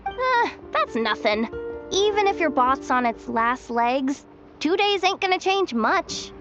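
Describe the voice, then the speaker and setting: Cocky young female informant, high pitch, slangy streetwise talk, video game, faint music. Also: big pitch swings, takes it slowly, muffled recording, exaggerated voice. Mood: dismissive, flustered.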